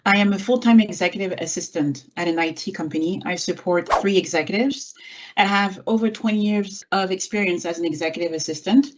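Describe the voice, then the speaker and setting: Lower-voiced woman guest, muffled call. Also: fairly quick, background noise. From born in France, grew up in California. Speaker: guest, executive assistant and software trainer. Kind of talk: conversational interview answers. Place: indoors, online video call. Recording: dull, muffled call audio.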